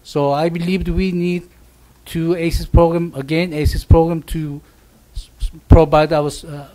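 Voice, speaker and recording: A man on a school board gives comments in a meeting, stopping and restarting as he searches for his words. His voice sits a little higher than most men's. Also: level tone, a little slow, dark tone.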